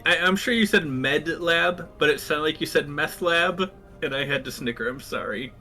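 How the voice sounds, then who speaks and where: A man's voice: fairly high pitch, moderate pace, sharp tone, faint music behind. A man playing a tabletop roleplaying game, talking in plain casual chat. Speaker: player.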